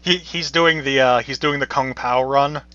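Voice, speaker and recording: Laid-back male game master: bright, forceful voice, lots of fillers, dull lo-fi sound. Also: mid-range voice, moderate pace, dry recording. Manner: relaxed, laid-back narration with frequent fillers. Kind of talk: narrating a tabletop game. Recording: dull lo-fi recording.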